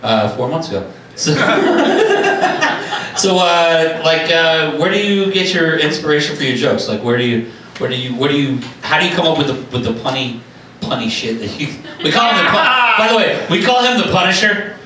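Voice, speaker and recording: A man's voice: on the high side, very animated, normal speed. A man hosting an open mic, interviewing a comic in a loose, joking way. He swears often and laughs a lot. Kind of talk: loose, profane comedy interview. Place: small open-mic club stage, indoors. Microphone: handheld mic, filmed by a far camera. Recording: very echoey far-off audio with loud background noise.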